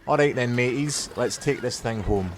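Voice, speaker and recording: Man playing a mid-pitched, grumbling sailor. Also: moderate pace, music in the background, lightly acted. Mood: casual. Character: jokey, grumbling male sailor Scotty. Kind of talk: comedy audio drama acting.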